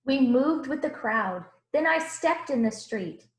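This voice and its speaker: A woman voicing a gentle, earnest Jesus who tells his own storybook tale in rhythmic rhyme. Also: high voice, lively intonation, measured pace, slight background noise. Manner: rhythmic rhyming storybook delivery. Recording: roomy, echoing room sound.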